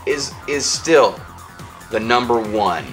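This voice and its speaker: Bright, forceful man co-hosting over music. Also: medium pitch, animated, slow. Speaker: co-host of a video game review show. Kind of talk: casual countdown commentary.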